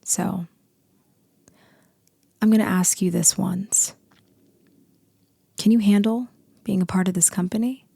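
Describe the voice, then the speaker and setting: A low, very gravelly female boss acted by a woman in an ASMR roleplay. She is dominant, seductive and teasing, speaking slowly and deliberately. Her voice is breathy and often sinks into a whisper, very close and dry. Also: fairly even intonation, dark tone, no echo, full character voice. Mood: commanding, teasing. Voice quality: deep, husky, breathy voice. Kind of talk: ASMR roleplay, slow intimate acting.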